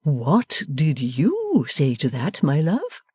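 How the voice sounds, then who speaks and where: A woman reader voicing a meek, gentle older father who speaks mildly and slowly. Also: deep voice, wildly swooping pitch, breathy edge, no echo, studio quality, full character voice. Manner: mild, slow and soothing.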